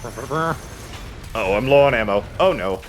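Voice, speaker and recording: A man's voice: medium pitch, lively intonation, takes it slowly, a little hiss or hum. A man giving casual, joking commentary while playing a video game. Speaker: let's play host playing the game.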